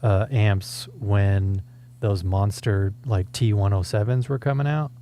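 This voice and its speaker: A man co-hosting a casual conversation about audio gear in a low-key, conversational way. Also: steady tone, measured pace, dark tone, slight background noise. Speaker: co-host and reviewer.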